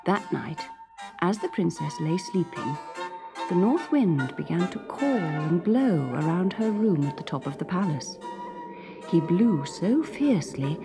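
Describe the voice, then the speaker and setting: Lively British woman storyteller. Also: fairly low pitch. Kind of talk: expressive children's story narration.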